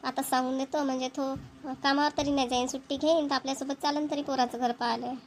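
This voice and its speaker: A woman's voice: high voice, level tone, fast, a little hiss or hum. A woman voicing a patient village mother. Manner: rural Marathi dialect, patient, agreeable. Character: village mother, patient, homely, dutiful wife. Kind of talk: cartoon voice acting.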